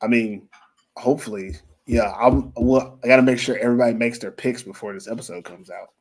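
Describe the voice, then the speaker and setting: A man's voice: medium pitch, fairly quick, darkish tone. A man hosting a sports podcast, chatting casually in a rambling, jokey, self-mocking way. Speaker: podcast host. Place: lives in Finland.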